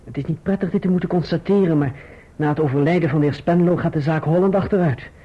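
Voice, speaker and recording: Earnest young gentleman, muffled recording. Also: fairly high pitch, slightly rough. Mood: polite. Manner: formal, measured literary diction. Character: earnest, gentlemanly young Englishman narrating his own story. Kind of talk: literary radio drama narration and dialogue. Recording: muffled, dull old radio drama recording.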